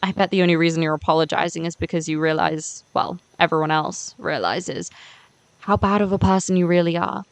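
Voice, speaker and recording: A woman's voice: on the low side, fairly quick, dry recording, close to an everyday voice. A young woman playing a hurt, unforgiving teenage schoolgirl in an amateur voiced game series, speaking in an emphatic conversational way. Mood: hurt, accusing.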